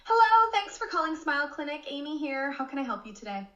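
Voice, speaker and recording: A bright, fairly high female AI receptionist handles a customer service call. She is polite and helpful with scripted phrasing, and the playback sounds echoey and a bit muffled. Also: big pitch swings, fast. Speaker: AI phone receptionist.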